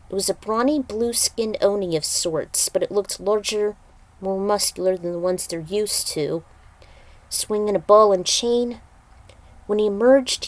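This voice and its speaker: A woman's voice: medium pitch, no room sound. Woman reading a story aloud, dry sound. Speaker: narrator reading own fan fiction. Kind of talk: informal story reading with side remarks.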